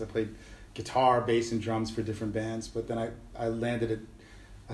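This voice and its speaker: A man's voice: mid-range voice, roomy sound. A middle-aged man, a musician guest talking casually in an easygoing, rambling way with slang and fillers. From New York City. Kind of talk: casual conversational interview.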